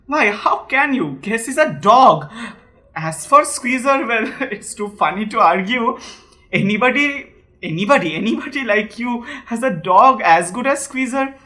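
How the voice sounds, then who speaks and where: Audio drama: excitable stammering landowner acted by a woman, Indian accent, raised pitch, sharp tone. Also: measured pace. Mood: scornful. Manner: stammering, repeating words. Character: excitable, hypochondriac male landowner and proud suitor. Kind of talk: amateur student stage-play audio drama.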